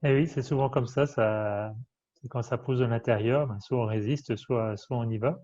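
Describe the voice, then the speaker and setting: A man's voice: mid-range voice, moderate pace, warm tone. A man hosting an interview show, introducing his guest in a hesitant way with frequent fillers and restarts. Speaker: host. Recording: dull lo-fi sound.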